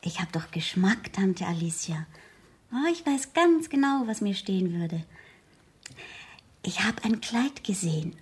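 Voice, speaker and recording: An actress playing a naive, eager teenage schoolgirl in a radio play, artless, impulsive and breathy. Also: medium pitch, very animated, unhurried, pauses often, heavily acted. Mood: dreamy, rapturous. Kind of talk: 1970s German radio play acting.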